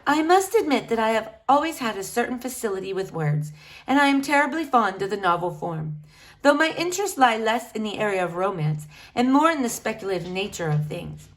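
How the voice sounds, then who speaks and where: A woman's voice: fairly high pitch, very animated, fairly quick. A woman voicing a pompous, bookish young boy in a storybook, polite, precise and long-winded.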